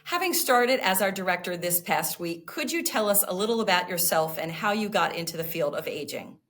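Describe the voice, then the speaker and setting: A woman's voice: on the low side, lively intonation, a bit bright. Formal woman hosting a county TV show. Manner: measured, formal, reading a prepared script. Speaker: host. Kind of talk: scripted public-service show introduction.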